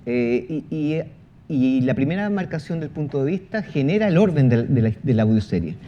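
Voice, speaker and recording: A man explaining his craft as a panel guest, halting and repeating words as he goes. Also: mid-range voice, animated. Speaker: panel guest, audio fiction creator. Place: live conference panel. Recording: muffled event sound with faint noise.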